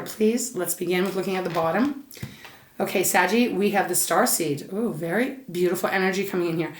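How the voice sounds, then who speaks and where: A woman's voice: lowish voice, echoey room. Chatty woman with a scratchy voice. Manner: chatty, soothing, addresses listener as Saggy. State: slightly scratchy, stuffed-up voice. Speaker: tarot reader. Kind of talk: casual monthly tarot reading.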